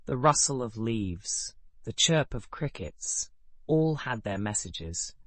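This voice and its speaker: A man narrating a scripted bedtime story in a calm, measured way, his sentences falling away at the ends. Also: mid-range voice, no room sound.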